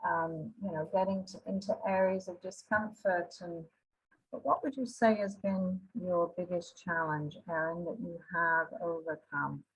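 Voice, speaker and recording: A woman's voice: fairly low pitch, steady tone, husky, low-quality recording. A woman moderating a panel discussion on a video call, hesitating and restarting as she moves through questions. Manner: halting, with fillers and restarts.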